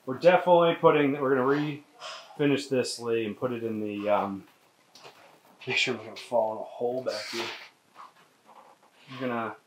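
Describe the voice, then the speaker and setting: A woman's voice: rumbling low, normal speed, pauses often, noisy background. A woman giving a casual, upbeat walkthrough of a large empty old mill, chatting with the people around her. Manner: upbeat, chatty, keeps calling others by name. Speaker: distillery owner giving a tour.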